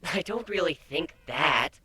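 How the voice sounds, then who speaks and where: A man's voice: fairly high pitch, lively intonation, a little slow, sharp tone, breathy edge, pitched above the performer's own voice, heavily acted. A man voicing a playful, food-loving young female pony wife in a teasing, earnest storybook reading. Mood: affectionate teasing.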